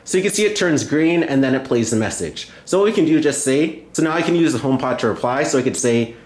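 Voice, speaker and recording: A man hosting a tech video, narrating a hands-on tutorial step by step and often repeating himself. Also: medium pitch. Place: indoor room at a table. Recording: roomy, echoey indoor sound.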